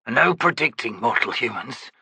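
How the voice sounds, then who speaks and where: A man's voice: medium pitch, lively intonation, normal speed, vocal fry, pushed and bright, husky, dry recording, heavily acted. A man voicing an ancient, wounded, nonhuman warrior who mumbles with dry, mocking scorn. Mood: sardonic. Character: wounded ancient male Jaghut warrior, sardonic.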